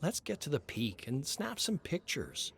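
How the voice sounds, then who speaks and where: A man's voice: a little high, wildly swooping pitch, unhurried, gravelly, voice pushed up, exaggerated voice. Guy reading as a confident hiker dude in a horror story, breezy, dismissive, reassuring. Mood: upbeat.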